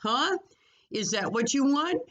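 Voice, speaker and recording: A woman's voice: high-pitched, sing-song, takes it slowly, a bit bright, highs cut off, voice pushed up, exaggerated voice. A woman voicing an earnest, anxious young girl in a children's storybook. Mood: worried.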